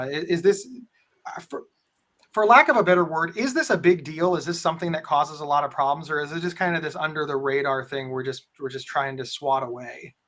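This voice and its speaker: A man hosting a live online talk, with a big booming voice and a rambling way of talking full of restarts. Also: fairly bright tone. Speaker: host. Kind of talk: live webinar moderating.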